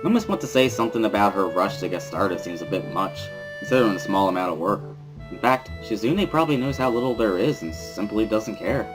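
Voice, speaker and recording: A man's voice: lowish voice, sing-song, brisk, over music, rough recording. A man reading first-person scripted narration from a visual novel as a dramatic reading.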